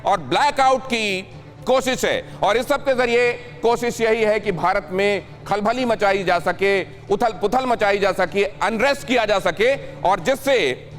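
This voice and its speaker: High-pitched emphatic male Indian news anchor. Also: unhurried. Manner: emphatic, repeats phrases for effect. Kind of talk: dramatic news presentation. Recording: loud dramatic music bed under voice. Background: news music.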